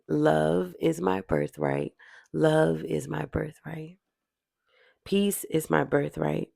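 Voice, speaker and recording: A meditation guide speaking in a soothing, deliberate way, repeating affirmations with drawn-out pauses. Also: medium pitch, gravelly, a little mellow, no echo, clean studio sound.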